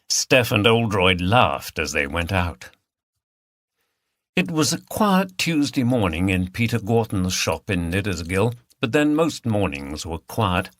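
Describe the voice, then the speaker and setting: A man's voice: on the low side, fairly bright tone, no room sound. A man reading a mystery novel as scripted audiobook narration.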